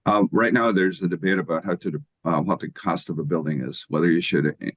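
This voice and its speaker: Irish man in his sixties, very deep low voice, dry close mic, measured and thoughtful explainer, interview guest. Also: steady tone, dry recording. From Ireland. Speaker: guest expert, economics professor.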